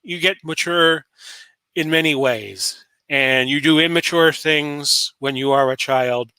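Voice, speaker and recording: A man's voice: a little high, slow pace, sharp tone, clear, resonant tone, dry recording. A man in his late forties from Florida, hosting a solo talk show in a rambling, casual way full of fillers and restarts. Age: 40s. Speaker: podcast host.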